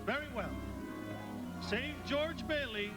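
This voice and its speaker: Senior male angel, calm, commanding, stately, high voice, radio play, strong musical backing. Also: slow. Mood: solemn, commanding. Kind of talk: live radio play acting. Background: loud music.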